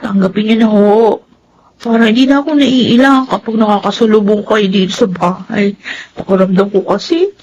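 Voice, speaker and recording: A woman's voice: mid-range voice, steady tone, moderate pace, darkish tone, natural voice. A woman acts out a young Filipino-accented daughter in a radio drama, wayward and full of remorse. Her voice sits at an ordinary height, on a slightly muffled recording with faint noise. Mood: ashamed, pleading. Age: young adult. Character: remorseful wayward grown daughter of a struggling family.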